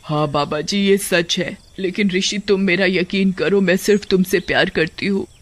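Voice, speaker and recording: A man's voice: high-pitched, fairly even intonation, moderate pace, a bit bright, dry recording. A man voicing a lovestruck young female witch who pleads earnestly in a horror story. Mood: desperate, earnest. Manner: earnest, imploring.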